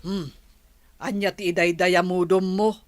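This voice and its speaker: A woman's voice: fairly low pitch, steady tone, a little slow, a little hiss or hum, close to an everyday voice. A woman playing a reproachful old grandmother in an Ilocano radio comedy-drama.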